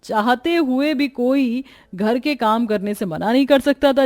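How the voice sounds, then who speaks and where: A woman narrator reading a scripted cartoon family story in an expressive storytelling manner. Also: mid-range voice.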